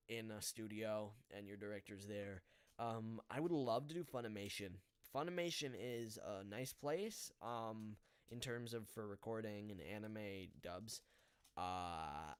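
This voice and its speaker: A man's voice: mid-range voice, clean tone, no room sound. A man whose first language is Polish hosts a casual live podcast as a voice actor. He rambles and hesitates, with many fillers and restarts. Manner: apologetic, backpedaling.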